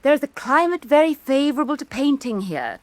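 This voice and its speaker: A woman's voice: high voice, sing-song, a little fast, no echo. An actress playing an elegant, insinuating woman art critic of Renaissance Venice, arch and mannered, in a theatrical radio drama. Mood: smooth, persuasive. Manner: arch, mannered, lingering, condescending.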